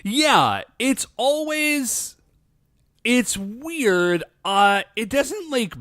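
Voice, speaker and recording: Animated man, dry close sound. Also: very high-pitched, drawn-out words, no echo. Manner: playful, teasing, animated. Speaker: podcast co-host. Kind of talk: casual chat. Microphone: recording earphones, studio mic.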